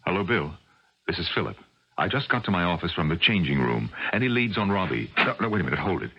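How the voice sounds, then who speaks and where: A man's voice: low-pitched, very animated, quick pace, slightly rough, heavily acted. An actor playing a genial, skeptical middle-aged scientist and father in a vintage radio drama. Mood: anxious. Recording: dull vintage AM radio recording.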